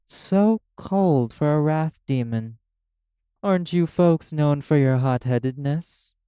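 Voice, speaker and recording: A man's voice: fairly high pitch, slow, warm tone, smooth, no room sound, clean studio sound. A man playing a proud, condescending arch-demon casino owner in an audio roleplay, drawling and teasing with pet names. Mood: sly, needling. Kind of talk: dramatic audio roleplay acting. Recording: music under the voice. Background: music.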